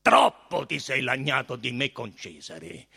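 A man's voice: medium pitch, very animated, measured pace, vocal fry, pushed and bright, slightly rough, exaggerated voice. A proud Roman procurator from a storybook, played by a man reading aloud. He is imperious and haughty, bearing down on his words with emphasis. His whole manner carries menace. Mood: vengeful.

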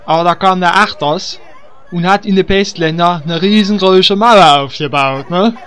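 A man's voice: high voice, normal speed, fairly bright tone, a faint crowd. A man playing a chummy, simple paladin with an East German accent, chatty and folksy, in a comic radio play. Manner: folksy, chatty, tag questions.

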